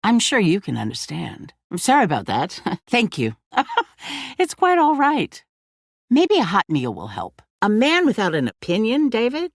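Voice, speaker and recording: An actress playing a poised, commanding woman who leads a survivor settlement in a video game, speaking in a measured, courteous but firm way. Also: medium pitch, wildly swooping pitch, dry recording, clean studio sound. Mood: warm, welcoming, then probing, wry. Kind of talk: dramatic video game dialogue.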